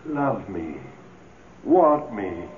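An actor playing the amorous, coaxing ghost of a philandering husband in a radio drama, pleading and smooth-talking. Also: male voice, lowish voice, big pitch swings, very slow, exaggerated voice. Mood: pleading, urgent. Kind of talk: old radio drama acting. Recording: tinny, hissy old radio recording.

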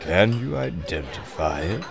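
An actor playing a pompous, snobbish English lord in an audio adventure drama, with theatrical, drawn-out delivery. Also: male voice, deep voice, sing-song, gravelly, faint music behind, some chatter behind, heavily acted. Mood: effusive.

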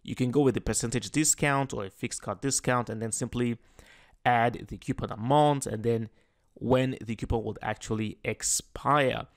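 A man narrating a screen-recorded tutorial in an easygoing, explanatory way. His voice sits in a middle pitch, and he stumbles and restarts now and then as he walks through each setting. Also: fairly even intonation, moderate pace. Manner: casual, explanatory, with frequent fillers and restarts. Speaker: tutorial host. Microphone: voice-over on a screen recording.

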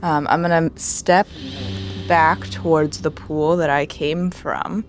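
Female tabletop player, unhurried and halting, casual delivery, faint ambience behind her. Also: low voice, fairly even intonation, slight background noise. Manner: halting, thinking aloud while describing actions. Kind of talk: casual tabletop role-play.

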